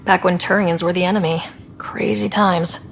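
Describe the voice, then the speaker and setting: A woman's voice: on the low side, fairly even intonation, normal speed, vocal fry, a little hiss or hum. Casual young woman in a reverberant space. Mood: relaxed, casual. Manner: casual, conversational. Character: young, casual, curious female space explorer. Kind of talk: acted video game dialogue. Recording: long reverb, music behind.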